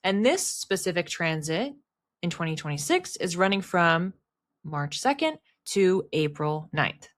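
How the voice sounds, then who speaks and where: A woman's voice: on the low side, very animated, measured pace. A woman giving an explanatory solo talk to viewers in a conversational manner, restating words for emphasis. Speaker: astrology content creator and host.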